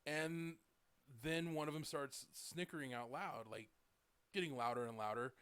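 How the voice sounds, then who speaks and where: A man's voice: a little high, normal speed, a bit bright, clean tone, dry recording. Casual rambling podcast host, a man. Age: late 30s. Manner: rambling storyteller, lots of "like" and "dude".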